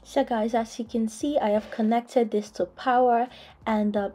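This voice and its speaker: Young woman vlogging at home, mellow warm voice, chatty with lots of ums. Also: medium pitch. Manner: chatty, many "um" fillers, addresses viewers as guys. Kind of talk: product demo voice-over. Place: home, indoors.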